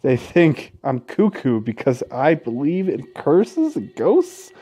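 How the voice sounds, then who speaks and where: A man doing gaming commentary, reading a visual novel aloud with casual, sweary asides and an expressive, dramatic delivery. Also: fairly high pitch, slow pace, husky. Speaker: let's play host. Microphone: close gaming microphone.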